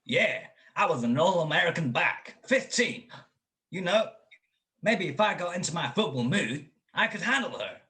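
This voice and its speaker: A man playing a dopey, impulsive young ex-college football player in a radio-style comedy mystery, blustering and excitable. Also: fairly high pitch, moderate pace. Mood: sheepish, boastful.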